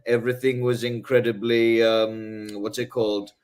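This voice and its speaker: English male guest, haughty, rambling, many fillers, mid pitch. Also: little pitch movement, moderate pace, a little mellow, clear, resonant tone. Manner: rambling, many ums and rhetorical "right?"; haughty airs. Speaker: guest author. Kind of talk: casual interview talk.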